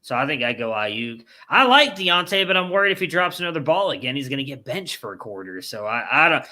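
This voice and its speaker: Bright-voiced man in his thirties. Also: mid-range voice, sing-song, a little fast. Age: 30s. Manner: blunt, swears when riled. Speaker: podcast co-host. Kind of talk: casual fantasy football chat.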